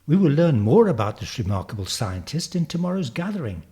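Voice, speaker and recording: An effusive lady schoolteacher, put on by a male reader, full of admiration. Her formal storybook speech lifts and dips in animated swoops. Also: on the high side, a little fast, voice pushed up. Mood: gushing. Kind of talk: storybook character reading.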